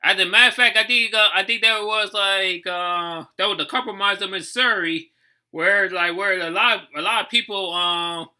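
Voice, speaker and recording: A man giving casual, off-the-cuff reaction commentary as host, thinking aloud with halting, repeated phrases. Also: sits high, bright, forceful tone.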